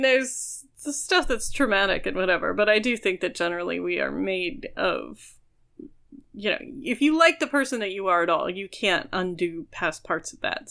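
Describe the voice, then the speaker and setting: A woman's voice: lowish voice, lively intonation, moderate pace, gravelly, sharp tone. A woman co-hosting a podcast, talking in a casual, informal and chatty way. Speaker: podcast co-host.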